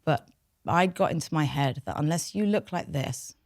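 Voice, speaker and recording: A woman's voice: low-pitched, lively intonation, moderate pace, a little mellow, no room sound. Candid London woman, close dry sound. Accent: London English. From North London, England. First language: Farsi. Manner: open, reflective. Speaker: podcast guest, behaviour change specialist. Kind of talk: candid personal interview.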